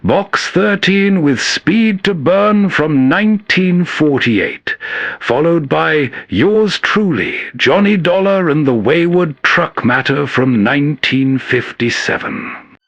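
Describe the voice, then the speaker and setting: A man gives a scripted introduction to radio stories at a slow pace. His voice is somewhat high, recorded dry with a slightly dull tone. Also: animated, a bit bright, no echo. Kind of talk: scripted announcer narration. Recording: dull, muffled sound.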